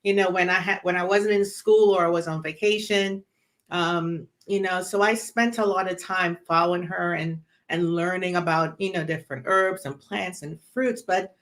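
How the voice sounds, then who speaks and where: Low-voiced Trinidadian woman telling stories. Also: steady tone, fairly bright tone. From Trinidad and Tobago. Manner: unhurried, with many ums and "you know" fillers. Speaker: guest. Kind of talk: casual personal storytelling.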